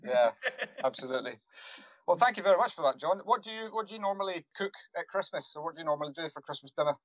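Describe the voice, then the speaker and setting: A man's voice: fairly high pitch, lively intonation, fast, dry recording. Man hosting a podcast, dry and dull. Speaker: podcast host. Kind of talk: hosting a casual chat.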